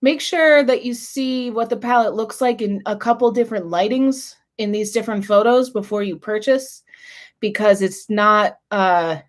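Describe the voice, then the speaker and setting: Woman beauty vlogger, husky and hoarse, slightly high voice, chatty and casual with throat clearing. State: hoarse, losing her voice. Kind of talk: casual makeup review chat.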